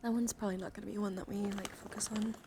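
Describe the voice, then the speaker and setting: A woman's voice: mid-range voice, fairly even intonation, very fast. Playful woman streamer over audible noise. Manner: playful, coaxing, often slipping into breathy whispers. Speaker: livestream host. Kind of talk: casual chat and ASMR handcam stream. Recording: clearly audible background noise.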